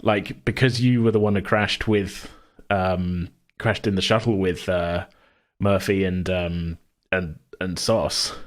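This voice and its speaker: A man brainstorming casually as a podcast host, thinking aloud in a halting way. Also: low voice, fairly even intonation. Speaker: tabletop role-play podcast host and game master.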